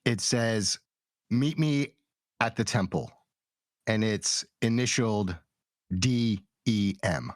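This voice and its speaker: A man's voice: on the low side, slow, long pauses, no echo, studio quality. A man acting as game master, narrating and refereeing a tabletop role-playing game.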